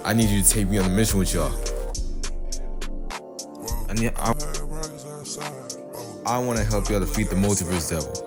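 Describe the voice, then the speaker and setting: Casting: man playing earnest young cartoon fighter, low voice, slangy, loud music underneath. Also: moderate pace, pauses often, lightly acted. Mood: pleading.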